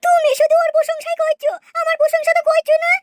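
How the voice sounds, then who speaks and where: Squeaky, brash cartoon village woman. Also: flat delivery, fast. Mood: huffy. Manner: insistent, boastful. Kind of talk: cartoon dialogue. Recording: noisy track with clicks.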